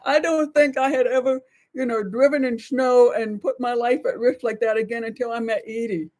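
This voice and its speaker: A woman's voice: on the high side, steady tone, normal speed, a little mellow. An American woman in her sixties co-hosting a live show with casual, reflective chat. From United States. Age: 60s.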